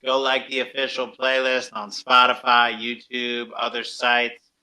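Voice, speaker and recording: Super bright punchy guy hosting a podcast, pretty flat pitch, upbeat slangy chat. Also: a little slow, rough recording. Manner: laid-back slangy, upbeat. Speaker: podcast and radio host. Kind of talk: casual chat.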